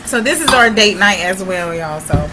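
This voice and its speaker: A woman's voice: medium pitch, expressive, moderate pace. A woman hosting a casual livestream chat, laid-back and encouraging. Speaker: livestream host. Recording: noisy home recording.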